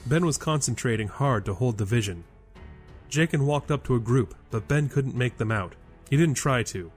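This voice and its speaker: A male storyteller reads dramatic fantasy narration. His pitch is medium, and music plays underneath. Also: animated, normal speed.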